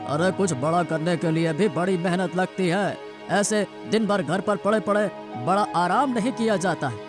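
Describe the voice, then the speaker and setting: A man's voice: sits high, moderate pace, lightly acted. A man playing an old village farmer father in a cartoon moral story, admonishing and worried. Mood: scolding. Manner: exhorting, admonishing. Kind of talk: animated moral story dialogue. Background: background music.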